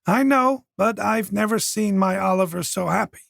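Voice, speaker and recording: A man doing a gentle older mother. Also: sits high, normal speed, vocal fry, dry recording, studio quality, pitched above the performer's own voice, heavily acted. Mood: gracious.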